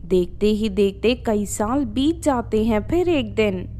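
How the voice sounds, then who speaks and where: A woman's voice: medium pitch, lively intonation, slow, warm tone, a little hiss or hum. A woman narrating a scripted children's story as its storyteller.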